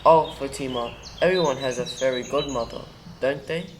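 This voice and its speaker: A man's voice: medium pitch, normal speed, lightly acted. A male performer playing a keen, helpful boy hero in an amateur school radio play. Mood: excited. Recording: faint noise, birdsong behind.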